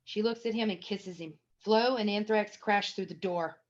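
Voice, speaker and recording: A woman's voice: medium pitch, moderate pace, muffled recording. A woman reading stage directions as the narrator of a scripted screenplay table read.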